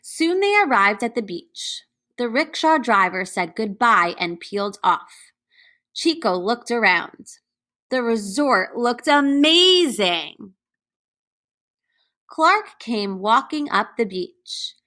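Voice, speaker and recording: A woman reading a storybook aloud as a teacher to children, speaking deliberately and pausing to put questions to her young listeners. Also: on the high side, big pitch swings, sharp tone. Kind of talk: read-aloud storybook narration.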